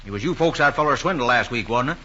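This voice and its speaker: An actor playing a good-natured small-town sheriff with a husky voice and a Southern American accent, in old-time radio drama style. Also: male voice, medium pitch, lively intonation, fast, sharp tone. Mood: amused, puzzled. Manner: folksy, easygoing. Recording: muffled old radio recording.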